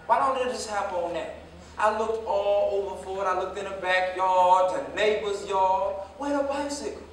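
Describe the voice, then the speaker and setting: A man in a staged play reading playing a disillusioned American ex-convict, a plainspoken dreamer turned realist who tells stories with emphatic repetition. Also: sits high. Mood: bitter. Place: indoor theater stage, recorded from afar. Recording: echoey stage, distant mic, faint noise.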